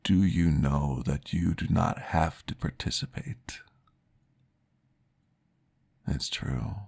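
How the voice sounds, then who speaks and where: A man voicing a calm, formal male vampire therapist in an ASMR roleplay, speaking in a measured, reassuring way. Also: a bass voice, gravelly, slightly rough, exaggerated voice.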